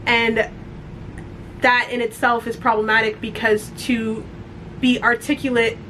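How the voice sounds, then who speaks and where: Young woman, co-host, articulate and easygoing, a bit high-pitched; faint noise behind her. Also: level tone, measured pace, a bit bright, poor audio. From Canada. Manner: articulate, laughs easily. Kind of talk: casual chat. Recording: slight noise with low rumble.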